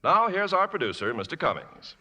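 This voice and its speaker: A man's voice: a little high, very animated, fairly quick, pushed and bright. A man announcing on a 1950s radio show, reading scripted ads in a polished, salesmanlike way. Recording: old radio broadcast in reverberant hall.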